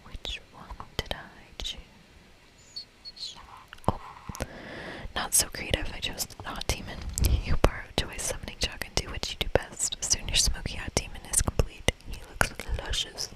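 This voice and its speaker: A woman whispering in a breathy, playful and casual way as she reads a video game aloud for ASMR. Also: a little slow, poor audio. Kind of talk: whispered ASMR playthrough, reading dialogue and commenting.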